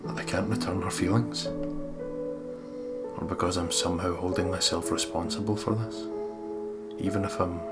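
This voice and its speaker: A man with a low voice narrates and comments on a visual novel in a casual let's play style. Game music plays behind him. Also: fairly even intonation, a little slow, long pauses. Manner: casual and sweary. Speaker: let's play co-host reading aloud.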